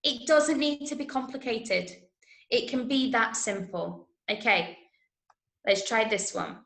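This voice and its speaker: A woman with an English accent leading an interactive online drama lesson in an encouraging way, full of praise and quick checks that everyone follows. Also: a little high, vocal fry, a bit bright. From Manchester, England. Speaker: drama workshop teacher. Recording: roomy, echoing online class audio.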